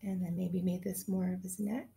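A woman in her thirties, a teacher reading a picture book aloud to children in an animated, gushing way, recorded on a webcam in a small room at home. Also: fairly low pitch, normal speed, creaky. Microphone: webcam at desk distance.

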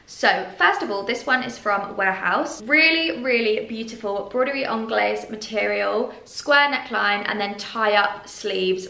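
A young British woman in her twenties, chatting enthusiastically to camera in a bright room as she shows clothes. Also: a little high, gravelly, echoey room, poor audio. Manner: gushing and enthusiastic. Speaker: fashion vlogger. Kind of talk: casual clothing haul to camera.

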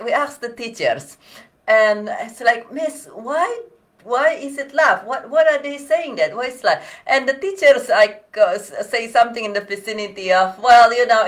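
A woman in her forties with an Indonesian accent, talking to camera in a casual, animated vlog from a small home office. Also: medium pitch. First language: not English. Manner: animated, laughing, gesturing with hands. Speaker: motivational vlogger.

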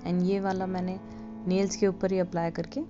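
A woman giving a relaxed, casual voice-over for a nail tutorial, slipping English phrases into her talk. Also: on the low side, normal speed. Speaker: beauty vlogger. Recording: dull lo-fi voice-over with faint music.

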